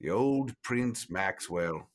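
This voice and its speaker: A man voices an ancient vampire in a tabletop horror game. He speaks low and deliberately, keeping things close, with a wry edge. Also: expressive. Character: guarded, centuries-old male vampire, gruff but wry.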